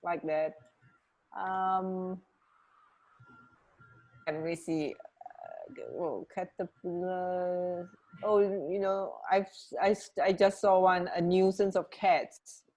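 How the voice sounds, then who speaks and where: Woman, slightly low pitch, radio co-host, casual conversation, musing short reactions. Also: fairly even intonation, slow, long pauses, a little mellow, poor audio. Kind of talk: casual chat, trading fun facts.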